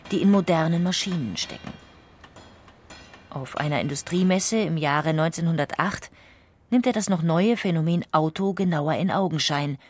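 A woman narrates a scripted documentary in a measured storytelling manner. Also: on the low side, darkish tone, a little hiss or hum.

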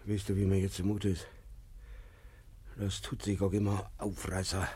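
A man's voice: deep voice, flat delivery, normal speed, mellow, slightly rough, no echo. An actor playing a stern, devout old peasant father in a German radio tragedy, grave and shaken. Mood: despairing. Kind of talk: German radio play acting, dialect tragedy.

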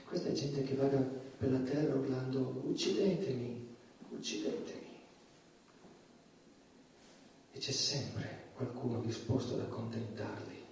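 A man's voice: mid-range voice, steady tone, moderate pace, a little hiss or hum, close to an everyday voice. An actor playing an anguished, self-justifying male judge, defiant and guilt-ridden, in a declamatory theatrical monologue. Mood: grave. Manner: declamatory, with swings from hushed to shouting. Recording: very echoey stage, distant microphone.

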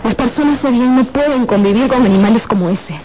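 A woman's voice: a little high, a little fast, background noise, lightly acted. A woman playing a cold, resolute young sister who has turned against her brother, in a radio drama.

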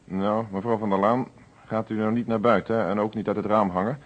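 A man's voice: on the low side, fairly even intonation, a little fast, muffled recording, lightly acted. An actor playing a businesslike, dryly wry male police inspector in a radio play, speaking Netherlandic Dutch in a commanding way. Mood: businesslike, courteous. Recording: noisy old radio play recording.

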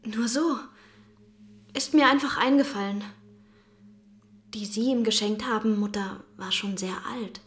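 An actress playing a subdued young grieving daughter in a radio crime drama. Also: female voice, medium pitch, a little slow, pauses often, lightly acted. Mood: hesitant, subdued. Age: young adult.

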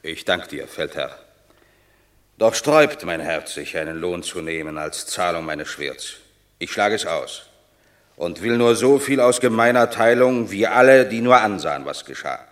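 An actor playing a proud, gruffly modest Roman war hero in a classical verse radio drama, terse and reluctant. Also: male voice, low-pitched, animated, unhurried. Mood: proud refusal.

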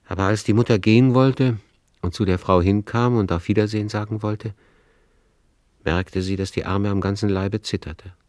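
A man narrating a literary radio drama in a measured, grave way of storytelling. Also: sits low, darkish tone, dry recording, studio quality.

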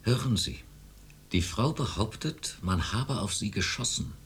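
Radio drama chief psychiatrist: lowish husky voice, self-righteous, authoritative, brushes objections aside. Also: male voice, exaggerated voice. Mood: irritated, insistent. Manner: authoritative, dismissive, insistent.

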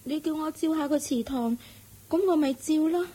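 A woman acts out a naive young maid in an old Cantonese radio drama. Her voice is high and soft, with dreamy, childlike wonder. She speaks gently and simply. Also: moderate pace, natural voice. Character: naive, vain young servant girl.

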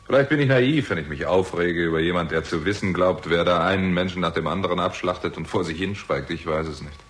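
A man's voice: low-pitched, brisk, lightly acted. An actor playing a weary, bitter theatrical agent who narrates his own story in a terse, sardonic way in a 1960s German crime radio drama. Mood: bitter, accusing.

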